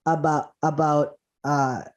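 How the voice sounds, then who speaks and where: A man's voice: fairly high pitch, little pitch movement, takes it slowly, lo-fi sound. A man talks as a guest on a podcast. He tells stories with enthusiasm, cracks jokes and laughs easily. He often restarts his words as he goes. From Costa Rica. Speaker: guest comedian and speaker. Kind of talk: animated podcast storytelling.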